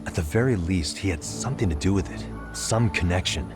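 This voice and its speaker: An actor playing a calm, sharp male detective in a video game cutscene, talking through a case in a level-headed, deductive way. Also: sits low, a little fast. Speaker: private detective. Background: background music.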